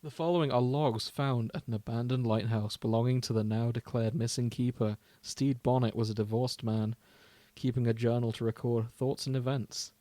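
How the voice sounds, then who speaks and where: A man's voice: mid-range voice, warm tone, no echo. A man co-hosting a podcast in casual chat, with a jokey, giggly manner. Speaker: podcast co-host.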